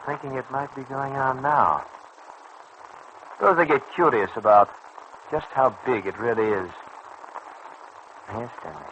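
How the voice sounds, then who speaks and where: An actor in an old radio serial playing a suave, scheming crime boss who coaxes and patronises in precise, persuasive phrases. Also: male voice, medium pitch, fairly bright tone, dull audio, lightly acted. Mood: probing, insinuating. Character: smooth, scheming male racket boss, coaxing and patronising. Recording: old radio recording with faint noise.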